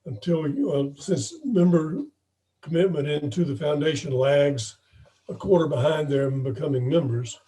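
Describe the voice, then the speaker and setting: Man, hoarse, mellow tone, slightly high pitch, halting delivery, informal meeting report, video call. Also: low-quality recording. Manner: halting, throat-clearing. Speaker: club president reporting to members. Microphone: video call microphone.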